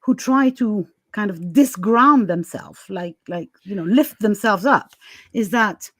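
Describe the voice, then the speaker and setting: A woman's voice: medium pitch, measured pace, dry recording, clean studio sound. A woman podcast host talking candidly and casually, emphatic and given to chuckling as she goes.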